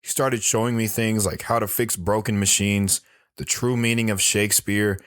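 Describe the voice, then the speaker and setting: A man's voice: lowish voice, little pitch movement, normal speed, fairly bright tone, clean tone, no echo, studio quality, lightly acted. An actor playing a reflective grown man recounting his boyhood in a first-person audio drama. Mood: nostalgic.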